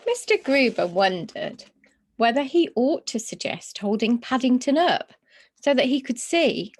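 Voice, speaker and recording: Female children's story reader, slow paced with very lively, varied intonation. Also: on the high side.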